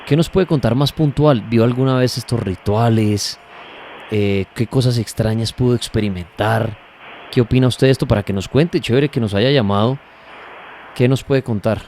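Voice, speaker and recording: A man's voice: medium pitch, moderate pace, darkish tone, slight background noise. A Colombian man hosting a live late-night radio call-in show about the paranormal. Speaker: radio host. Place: radio studio. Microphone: studio broadcast microphone. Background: faint music.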